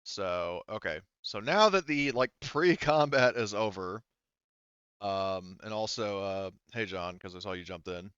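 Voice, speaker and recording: A man running an online tabletop roleplaying game as game master, talking haltingly as he thinks aloud through the rules. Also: fairly low pitch, fairly bright tone, clear, resonant tone, no echo, muffled recording.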